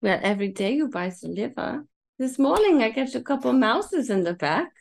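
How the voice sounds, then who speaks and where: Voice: medium pitch, very animated, normal speed, vocal fry. A woman voicing a garrulous, good-natured male butcher who speaks folksy broken English in an old-time radio drama table read. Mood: puzzled, jovial.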